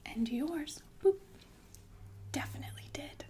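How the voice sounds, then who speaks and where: A woman playing a sinister, falsely soothing killer nurse in an ASMR roleplay, speaking in a genteel, deliberate breathy whisper with murmured asides. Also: high-pitched, expressive, dark tone. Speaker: ASMR performer.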